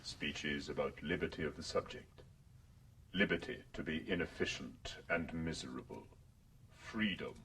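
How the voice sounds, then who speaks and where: An actor playing an authoritative world controller giving a grave, measured history lecture in a radio drama. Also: male voice, extremely low pitch, background noise. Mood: scornful. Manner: measured, oratorical. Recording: distant, off-mic broadcast voice.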